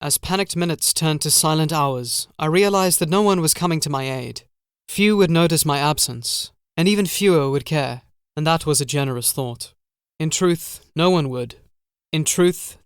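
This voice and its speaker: A man narrating a first-person horror audiobook in a measured, literary, brooding manner. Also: on the high side, clear, resonant tone, no echo, clean studio sound.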